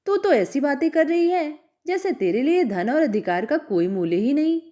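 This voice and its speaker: A proud old storybook father answers with sharp, mocking edges, voiced by a woman narrator. The voice stays mellow and fairly high, swinging widely in pitch as he scoffs. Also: very animated, normal speed.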